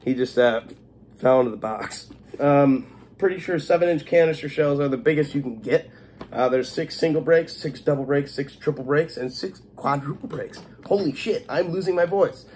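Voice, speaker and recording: A man's voice: on the high side, normal speed, darkish tone, slight background noise. A young man with a congested voice and a cough, casually showing off his haul in a small home kitchen and swearing freely. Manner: laid-back, swears freely, scolds his cat. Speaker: fireworks vlogger. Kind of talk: casual unboxing vlog.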